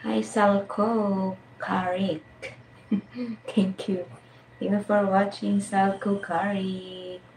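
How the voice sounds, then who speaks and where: Slow-talking Filipino woman with a creaky voice. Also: mid-range voice, little pitch movement, darkish tone, a little hiss or hum, low-quality recording. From Philippines. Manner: laughs often, reads and greets viewer names. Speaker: live stream host. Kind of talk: casual live stream chat with viewers.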